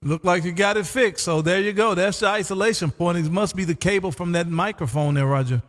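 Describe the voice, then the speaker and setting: A man hosting a live ham radio stream, chatting casually and folksy, his voice going hoarse. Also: high-pitched, fairly quick, long reverb tail, background noise. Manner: laid-back, folksy, says "man" often. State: losing his voice. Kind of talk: casual on-air radio chat.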